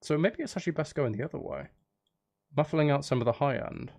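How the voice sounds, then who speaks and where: Playful, animated man with a higher-pitched voice. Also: fairly quick, gravelly, soft background music. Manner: playful, geeky, rambling as he thinks aloud. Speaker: live streamer making music. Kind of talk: casual live-stream chat.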